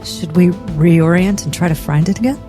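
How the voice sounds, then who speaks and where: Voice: mid-range voice, moderate pace, close to an everyday voice. A player voicing an inquisitive, insistent spaceship crew member in improvised cinematic roleplay. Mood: urgent, insistent. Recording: music bed behind the voice.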